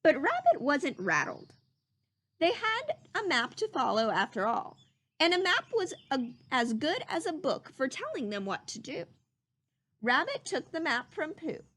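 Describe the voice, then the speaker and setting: Slow, lively, high-voiced woman storyteller. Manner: slow, animated, emphatic. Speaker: storyteller reading a children's book aloud. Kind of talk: expressive read-aloud narration with teacherly questions to listeners.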